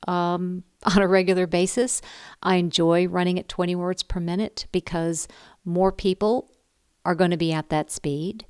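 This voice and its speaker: A woman with a fairly low voice speaks casually to the camera as a hobby video host. She is earnest and encouraging, stressing her points by repeating them. The sound is dry and close, with little room echo. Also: a little mellow. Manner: earnest, encouraging, emphatic repetition. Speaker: ham radio video host. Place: indoor room downstairs at home.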